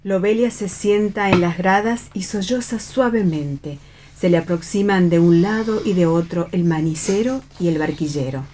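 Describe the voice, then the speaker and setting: Una mujer lee las acotaciones como narradora de teatro leído por radio. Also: female voice, on the low side, normal speed, mellow, slight background noise. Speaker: narrator reading stage directions. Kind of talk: scripted narration for radio theatre.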